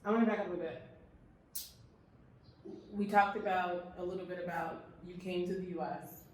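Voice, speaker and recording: A woman's voice: on the low side, steady tone, moderate pace. Female interview host in an echoey room. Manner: warm, probing, summarizes the guest's story back to him. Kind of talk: conversational interview. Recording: echoey room, mic at a distance, faint noise.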